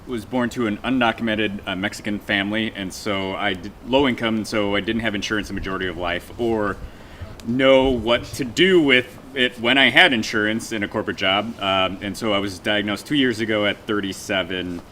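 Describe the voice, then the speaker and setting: A man in his late thirties from Chicago, introducing himself in halting speech with fillers. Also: lowish voice, sharp tone, slight background noise. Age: 30s. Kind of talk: casual group chat.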